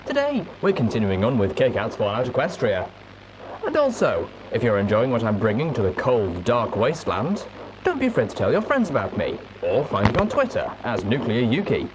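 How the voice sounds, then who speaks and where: A man's voice: mid-range voice, wildly swooping pitch, normal speed, noisy background. A man hosting a reading show, delivering an upbeat intro in the style of a radio DJ. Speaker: show host.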